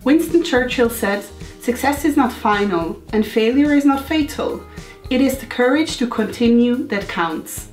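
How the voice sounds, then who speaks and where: A woman in her thirties, a bit hoarse from a cold, giving an upbeat, encouraging motivational talk to her viewers. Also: a little high, expressive, unhurried, darkish tone, echoey room, soft background music. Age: 30s. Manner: upbeat, encouraging, chatty asides. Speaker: lifestyle vlogger. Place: home room.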